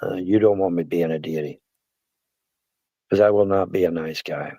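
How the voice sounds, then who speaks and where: A man's voice: sits low, fairly even intonation, normal speed, mellow, no echo. A man in his sixties, rambling and self-deprecating as he hosts a casual livestream chat. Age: 60s. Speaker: livestream host.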